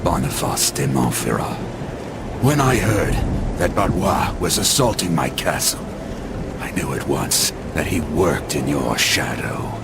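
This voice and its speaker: A man's voice: a bass voice, sing-song, measured pace, gravelly, heavy background noise, exaggerated voice. A man playing a proud, defiant old knight in a video game, grave and theatrical in delivery. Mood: bitter, defiant. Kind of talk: video game cutscene acting. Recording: loud music under the voice.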